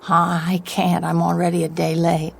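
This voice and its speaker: A woman's voice: fairly low pitch, monotone, measured pace, creaky, a little hiss or hum. An actress playing an anxious, haunted young woman traveling alone in a radio drama, speaking in a hesitant, polite, uneasy way. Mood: polite, distracted.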